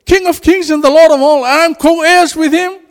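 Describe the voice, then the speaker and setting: High-voiced Fijian-accented man preaching. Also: moderate pace. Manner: animated, laughs often, rises to exclamations. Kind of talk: informal sermon. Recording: reverberant hall.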